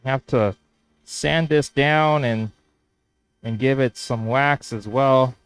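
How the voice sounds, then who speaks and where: A man's voice: medium pitch, fairly even intonation, takes it slowly, clean tone. A man whose first language is Spanish, casually thinking aloud as he works on a woodworking build. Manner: thinking aloud, hesitant restarts. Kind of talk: casual workshop vlog narration.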